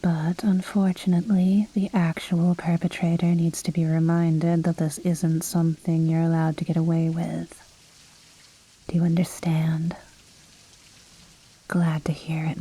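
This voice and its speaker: A woman playing an imperious, menacing vampire noblewoman in an ASMR roleplay, speaking in a soft, breathy half-whisper with cool, formal condescension. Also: deep voice, exaggerated voice, low-quality recording. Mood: silky, controlled. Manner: slow, measured, coolly condescending, formal. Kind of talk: scripted ASMR roleplay monologue. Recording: rain and thunder ambience behind. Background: rainstorm with thunder.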